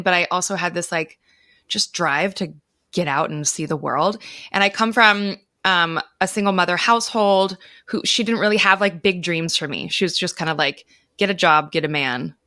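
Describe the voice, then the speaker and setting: A woman's voice: fairly low pitch, normal speed, bright, forceful tone, no echo, clean studio sound. An American woman speaking as a podcast guest, telling her own story in a casual way. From United States. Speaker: podcast guest, travel guide founder.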